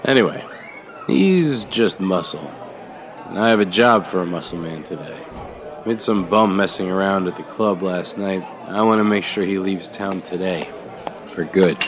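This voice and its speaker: A man playing a deep-voiced gang boss. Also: moderate pace, a faint crowd, close to an everyday voice. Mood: commanding. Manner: commanding, smooth. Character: cold commanding young male gang boss. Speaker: crime boss's son. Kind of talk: radio drama acting.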